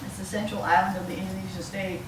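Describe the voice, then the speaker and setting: A woman giving an explanatory academic lecture to an audience, with a halting delivery. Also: mid-range voice, little pitch movement. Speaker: university lecturer. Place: lecture room, mic some way off. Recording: roomy, distant mic, clearly noisy.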